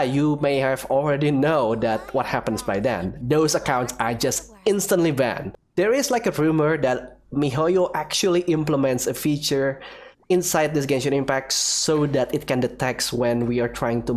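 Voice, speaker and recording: Female gaming host with a low voice, giving informal play-along commentary. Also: darkish tone. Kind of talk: casual gameplay commentary.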